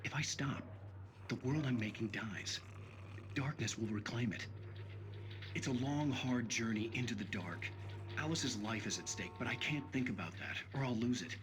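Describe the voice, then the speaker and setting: A man plays a brooding writer narrating a dark game story. His mid-pitched voice is solemn, set against clearly noisy ambience. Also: moderate pace, close to an everyday voice. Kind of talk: scripted dramatic narration in a video game.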